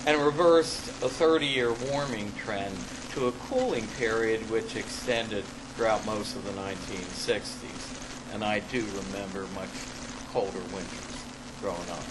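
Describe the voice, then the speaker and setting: An older man reading an academic paper aloud to a class, halting and deliberate, pausing for asides and repeating himself. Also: mid-range voice. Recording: clearly noisy live classroom recording.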